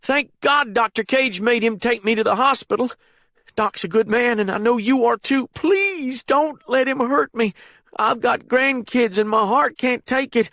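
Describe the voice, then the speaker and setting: A man's voice: very high voice, measured pace, pushed and bright, no room sound, clean studio sound, voice pushed up, full character voice. A man voicing a panicked older captive who whimpers and pleads in a frantic rush. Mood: panicked pleading.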